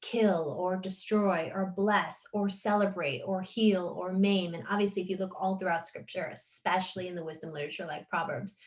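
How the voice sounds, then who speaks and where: A woman with a Long Island accent hosting a casual podcast chat, animated and quick to laugh. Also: lowish voice. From United States. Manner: animated, laughs often, talks in quick bursts. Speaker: podcast host.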